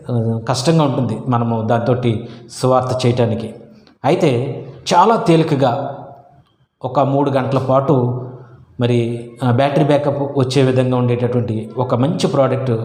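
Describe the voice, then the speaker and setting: The recording is echoey, with a long reverb tail around a man's voice. He has a soft, mellow tone at a medium pitch. He presents a product informally, explaining courteously and devoutly and repeating key phrases. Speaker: seller presenting his own product.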